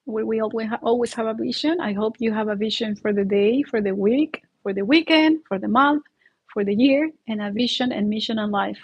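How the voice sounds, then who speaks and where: A woman's voice: fairly high pitch, brisk, breathy edge, muffled recording. Earnest Mexican-accented woman with a flu. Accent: Mexican Spanish. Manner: earnest, heartfelt, laughs often mid-sentence. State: sick with flu, sore throat. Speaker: YouTube channel host. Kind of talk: casual livestream monologue.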